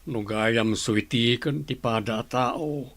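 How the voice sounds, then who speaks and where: A man with a Filipino accent and a husky voice, playing a weary, grumbling farmer husband in a radio drama. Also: mid-range voice, slow, a bit bright.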